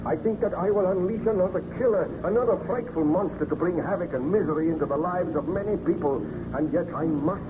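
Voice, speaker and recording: An actor playing a tormented, guilt-ridden scientist in an old-time radio drama, melodramatic and formal. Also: male voice, high voice, a little fast, hardly any pauses, close to an everyday voice. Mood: anguished. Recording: muffled, noisy old radio recording.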